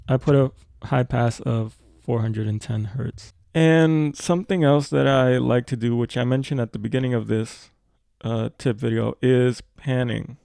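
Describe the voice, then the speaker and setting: A man explaining audio editing informally, thinking aloud as he works through a software tutorial. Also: medium pitch, gravelly, no echo.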